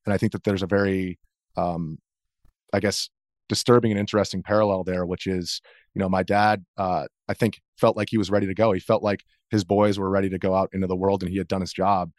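An American man in his thirties giving a reflective, earnest interview account in a measured way, as if thinking aloud. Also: low-pitched, level tone, no room sound, clean studio sound. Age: 30s. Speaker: interview guest, video journalist.

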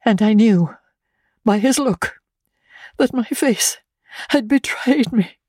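A woman voicing a frightened, gentle young wife who pleads in distress, in a dramatic reading of a classic novel. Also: on the high side, expressive, takes it slowly, slightly rough, no room sound, studio quality, voice pushed up, full character voice. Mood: anxious, wounded.

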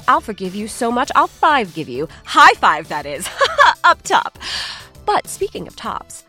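A woman's voice: medium pitch, sharp tone. A woman hosting a podcast, giving a scripted comic introduction in a playful, theatrical, punning manner. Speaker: podcast host.

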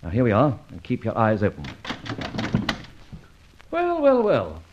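An actor playing a suave, commanding gentleman adventurer in an old radio drama, urbane, theatrical and sardonic. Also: medium pitch, wildly swooping pitch, a little slow, noisy background. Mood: confident, amused. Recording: dull old radio recording.

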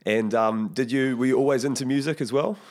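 A man's voice: medium pitch, a little fast. A man with a New Zealand accent, hosting a podcast in a casual, laid-back and slangy way. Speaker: podcast host. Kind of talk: casual interview chat.

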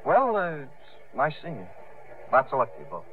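An actor playing a proud, evasive promoter in a 1950s radio drama, stammering with false breeziness. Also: male voice, medium pitch, wildly swooping pitch, brisk. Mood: defensive, bluffing. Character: proud, evasive drifter and down-on-luck male promoter. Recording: noisy, tinny 1950s radio recording.

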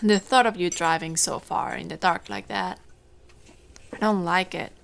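A woman's voice: on the low side, lively intonation, unhurried, creaky, a bit bright, slight background noise, full character voice. A woman playing a caring, strong-minded mother on a farm in an audio drama. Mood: concerned.

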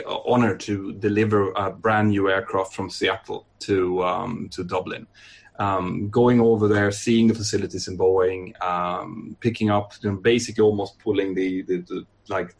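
A man from northern Sweden, an airline training captain, answering interview questions in a relaxed, thoughtful way with fillers and restarts. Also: lowish voice. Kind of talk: podcast interview.

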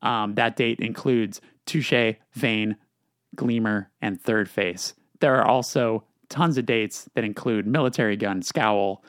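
A man's voice: on the low side, slow pace, a bit bright, no room sound, studio quality. A man hosting a casual podcast, talking loosely with lots of fillers and restarts. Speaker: podcast host.

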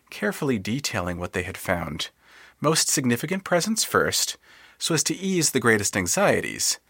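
A man's voice: mid-range voice, a bit bright, slightly rough, no echo, clean studio sound. A man narrating scripted literary fiction in a dramatic, wry storytelling manner. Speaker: narrator of an audio fiction podcast.

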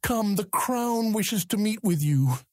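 An actor playing a courteous, authoritative middle-aged lord's official in an audiobook drama, speaking formally and graciously. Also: male voice, high-pitched, lively intonation, slow, dry recording, studio quality. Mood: courteous, chatty.

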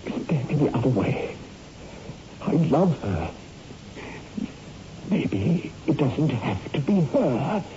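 An actor playing a tormented married doctor driven toward murder, halting and melodramatic, in 1960s radio horror style. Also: male voice, mid-range voice, very animated, dark tone. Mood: anguished, desperate. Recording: muffled, noisy old radio recording.

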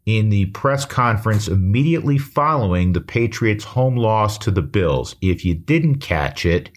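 A man's voice: on the low side, expressive, measured pace. A middle-aged man hosting a podcast, talking casually with frequent "uh" fillers as he reads ads and chats. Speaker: podcast host. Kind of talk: casual podcast talk and host-read ads.

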